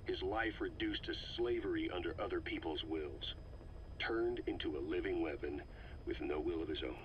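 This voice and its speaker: A man playing a grave intelligence officer in a video game, giving a deliberate, brooding briefing with dramatic pauses. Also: deep voice, close to an everyday voice. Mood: somber, brooding. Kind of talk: scripted briefing on a cassette tape, video game acting. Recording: muffled cassette tape with steady noise.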